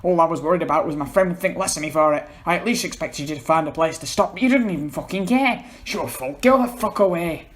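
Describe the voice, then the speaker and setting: A man voicing a distressed, tearful young man in a story reading, stammering and pleading, quick to swear and sulk. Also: a little high, pitched above the performer's own voice, lightly acted. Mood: angry, hurt. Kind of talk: amateur dramatic reading of a story.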